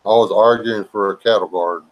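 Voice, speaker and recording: A man rambling casually to his live chat viewers in a slow, drawling, low-key voice that some find relaxing and others boring. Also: steady tone, muffled recording. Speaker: live stream host chatting with viewers.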